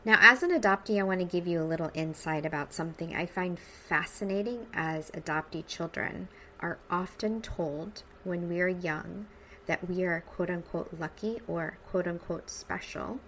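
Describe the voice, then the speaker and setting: A woman's voice: fairly low pitch, normal speed, pushed and bright, a little hiss or hum, low-quality recording. An American woman in her forties hosting a personal podcast, speaking informally with upbeat emphasis. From United States, suburban Midwest. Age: 40s. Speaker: podcast host.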